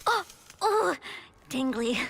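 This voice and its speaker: A woman's voice: sits high, big pitch swings, slow pace, creaky, fairly bright tone, in a large hall, exaggerated voice. An actress playing a playful, mischievous girlish villainess in a video game, drawing out her teasing, cutesy lines. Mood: tickled.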